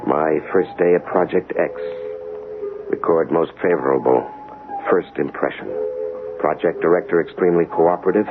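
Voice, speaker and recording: An actor playing an earnest government inspector and accountant in 1970s radio drama, speaking precisely and formally with polite hesitations. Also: male voice, low-pitched, normal speed, narrow, tinny audio, over music, natural voice. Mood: brisk, formal. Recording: noisy, band-limited 1970s radio recording.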